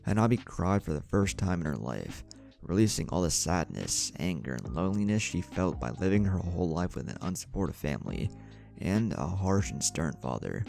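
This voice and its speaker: A man's voice: deep voice, very animated, moderate pace, gravelly, a little mellow. A man reading a scripted story as a narrator, voicing the dialogue as he goes. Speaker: fan fiction narrator. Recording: music bed under the voice.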